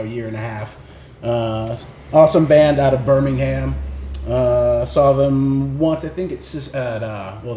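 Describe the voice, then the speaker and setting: Halting man in a roomy, echoey space. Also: mid-range voice, dark tone. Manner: halting, rambling, laid-back phrasing. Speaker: recording engineer presenting record picks. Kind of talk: casual unscripted chat. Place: indoors in a record shop. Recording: roomy echo, faint background noise.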